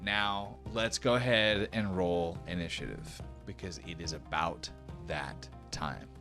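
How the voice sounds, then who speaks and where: A man's voice: low-pitched, fairly even intonation, a little slow. A man speaking as game master of a tabletop role-playing game, explaining rules and narrating off the cuff in a conversational way. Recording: music playing behind the voice.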